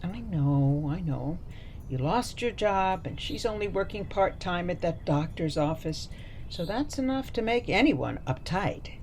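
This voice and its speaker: Radio drama: sweet, oblivious old mum played by a woman, chatty and coaxing, unhurried delivery, highly animated intonation. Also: a little hiss or hum. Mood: chatty, confiding. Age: older. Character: sweet, chatty, meddling elderly mother and grandmother. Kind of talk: radio play acting.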